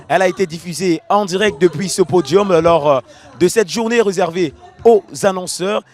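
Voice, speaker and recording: A man reporting from a festival, speaking in a measured way with frequent hesitations. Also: high-pitched. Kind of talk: news report narration.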